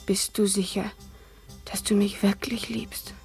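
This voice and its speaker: A woman's voice: medium pitch, measured pace, vocal fry, faint music behind. An actress playing a spirited, spoiled young noblewoman in a radio play. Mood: tipsy. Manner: pert, lively, teasing.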